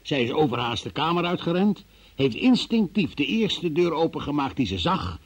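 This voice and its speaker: An actor playing a relentless, methodical and sceptical male interrogator in a radio play, with a gravelly, husky voice. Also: on the high side, big pitch swings, moderate pace, heavily acted. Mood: methodical, insistent. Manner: insistent, probing, methodical, sceptical. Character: relentless male interrogator questioning a young murder witness. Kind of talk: Dutch radio play acting.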